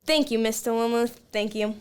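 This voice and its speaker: An earnest female field reporter acted by a woman in a radio play. The voice is high and the delivery formal, like an announcer giving an urgent eyewitness account. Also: normal speed, smooth, natural voice. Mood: probing, polite.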